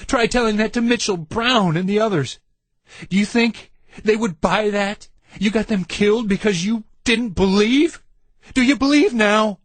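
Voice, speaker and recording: Male narrator doing a raging young soldier in a horror audiobook, pitched well up, shrill and husky. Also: unhurried, no echo. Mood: furious. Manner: shrill furious yelling. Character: enraged young male soldier, hot-tempered.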